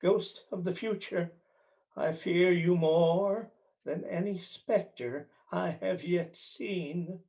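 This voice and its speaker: An actor playing a frightened, humbled old miser in a radio play, with a halting theatrical delivery. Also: male voice, sits high, vocal fry, warm tone, full character voice. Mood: dread, pleading.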